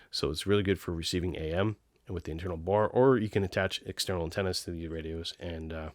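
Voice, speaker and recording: A man's voice: deep voice, no echo, clean studio sound. A man hosting a casual product review, explaining technical details in an informal way. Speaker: video host reviewing radios.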